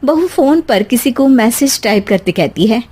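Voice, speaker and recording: Female storyteller for moral tales, high-pitched, very animated intonation, scripted narration. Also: normal speed, breathy edge.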